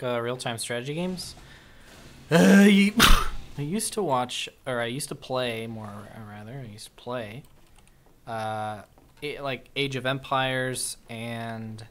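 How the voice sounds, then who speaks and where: A man's voice: medium pitch, slight background noise. Laid-back young man streaming a game. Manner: relaxed, answers chat questions between plays. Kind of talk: casual live-stream chat while gaming.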